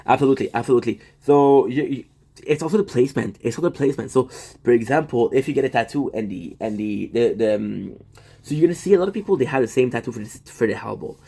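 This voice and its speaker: A man's voice: mid-range voice, animated, fast talker, vocal fry, mellow. Guy livestreaming from home, rambles, restarts a lot, talks to chat. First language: French. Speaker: livestream host.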